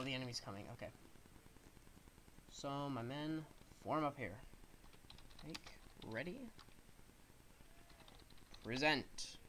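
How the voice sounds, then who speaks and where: A congested young man talks through his gameplay in a loose, wandering way, explaining each command as he gives it. His voice sits at an ordinary middle pitch, and a faint hiss of background noise runs under the recording. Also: a bit bright, rough recording. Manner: rambling, explains controls as he plays. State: stuffed-up nose. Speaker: gaming streamer. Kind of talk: casual gameplay commentary.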